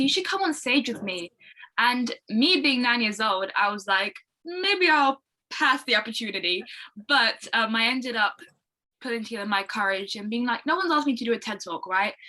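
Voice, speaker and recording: A woman's voice: a little high, bright, forceful tone, low-quality recording. A fifteen-year-old girl with a London British accent, chatting enthusiastically as a podcast guest. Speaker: podcast guest, young motivational speaker. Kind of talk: casual interview chat.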